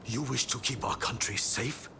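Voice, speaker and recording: An actor playing a stern, haughty shogunate official in a video game, imperious and commanding. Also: male voice, medium pitch, normal speed, creaky, background noise, lightly acted. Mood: stern, scornful. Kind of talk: video game cutscene acting.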